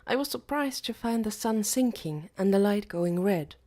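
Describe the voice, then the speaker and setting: Mellow-voiced woman narrating a novel. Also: mid-range voice, animated, normal speed. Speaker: audiobook narrator. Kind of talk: first-person audiobook narration of a novel.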